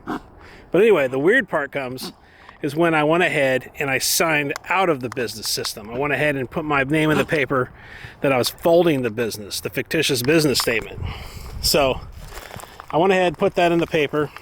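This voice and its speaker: A man's voice: on the high side, fairly quick, a bit bright. A man telling a story in a casual, rambling, conversational way, as a vlogger out on a walk. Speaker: vlogger, former online knife maker. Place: outdoors, walking dogs in an urban area. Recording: outdoor ambience and traffic noise. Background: faint outdoor and vehicle noise.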